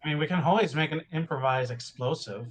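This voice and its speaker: A man's voice: fairly high pitch, quick pace. A man playing in an online tabletop game, chatting casually as he suggests ideas to the group. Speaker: player. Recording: background noise, room ambience.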